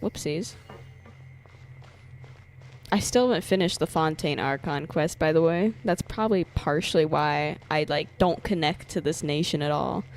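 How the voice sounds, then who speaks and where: A woman's voice: low-pitched, normal speed, slight background noise. A young woman giving casual, playful live gameplay commentary as a streamer, reacting in exclamations. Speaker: game streamer. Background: game music.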